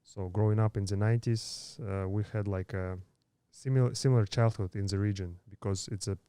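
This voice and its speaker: Guy in his 30s from kazakhstan, kinda low smooth voice, stops and restarts a lot, dry close mic. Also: steady tone, mellow, no echo. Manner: halting, many fillers and restarts. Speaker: podcast guest. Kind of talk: casual interview storytelling.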